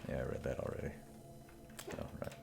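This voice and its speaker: A man's voice: a little fast, noisy background. A man streaming a video game, chatting casually and jokily with lots of trailing fillers. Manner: rambling, jokey, trailing filler words. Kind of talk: casual chat while gaming.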